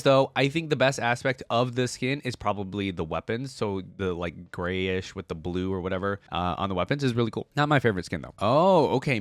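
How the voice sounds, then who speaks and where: Man with lively, swooping casual delivery. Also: mid-range voice, no echo. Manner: informal, thinking aloud with frequent "like" and "giving". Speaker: video host reacting to game content. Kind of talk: casual reaction commentary.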